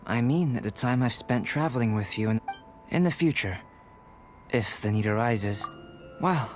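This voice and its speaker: Actor as a measured, scholarly young alchemist. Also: male voice, medium pitch, expressive, close to an everyday voice. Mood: reflective. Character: calm, intellectual young male alchemist. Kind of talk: video game voice acting. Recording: loud game music behind.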